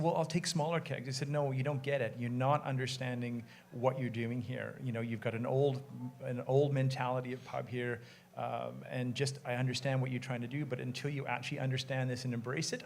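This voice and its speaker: A man's voice: mid-range voice, fairly even intonation, brisk, gravelly, a little hiss or hum. A man who co-owns a brewery, answering passionately and emphatically on a panel in front of an audience, speaking into a microphone. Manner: emphatic, passionate, quotes conversations. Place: event room with an audience of brewers.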